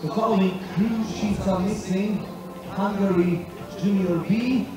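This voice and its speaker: Echoing high-pitched male stadium announcer. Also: steady tone, unhurried. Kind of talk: formal public-address announcements. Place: outdoor sports venue, heard over loudspeakers. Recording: distant echoing loudspeaker, loud music.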